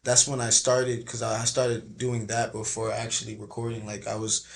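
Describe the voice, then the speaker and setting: A young man talking casually as a podcast guest, with a soft voice and a relaxed, rambling way of speaking full of fillers. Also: lowish voice, normal speed, vocal fry, a little hiss or hum.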